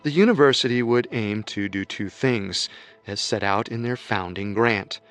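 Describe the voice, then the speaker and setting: A man giving measured, scripted documentary narration. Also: lowish voice, fairly bright tone, soft background music.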